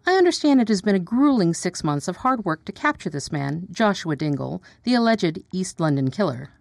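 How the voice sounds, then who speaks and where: A woman playing a brisk TV news presenter in a radio drama, formal and pointed in her questioning. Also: fairly low pitch, sing-song, gravelly, darkish tone, dry recording, clean studio sound. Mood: formal, poised. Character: brisk female TV news presenter turning accusatory interviewer. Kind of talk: radio drama acting, formal broadcast delivery.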